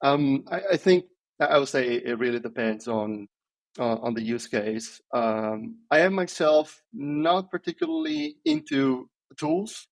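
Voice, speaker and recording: A man's voice: mid-range voice, big pitch swings, unhurried, creaky, poor audio. Man, hesitant and thoughtful. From Italy. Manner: thoughtful, hesitant, many ums and restarts. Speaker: podcast guest, software engineer. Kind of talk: casual interview answers.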